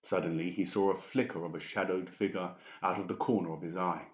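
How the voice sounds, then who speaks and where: A man's voice: low voice, fairly even intonation, a little fast. A man reading scripted fiction as an audiobook narrator. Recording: dull, echoey room recording.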